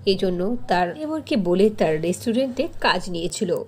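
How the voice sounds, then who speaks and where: A woman narrating a cartoon story in an expressive storytelling manner. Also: medium pitch, normal speed, a little hiss or hum.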